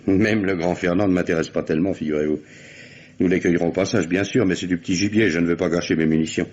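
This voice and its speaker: Casting: low, husky police boss, authoritative and wry, radio crime serial, muffled vintage sound. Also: male voice, fairly even intonation, fast talker, darkish tone. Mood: calm, commanding. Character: shrewd, commanding police boss plotting a nighttime sting. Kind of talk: French radio crime drama acting. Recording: dull vintage radio recording.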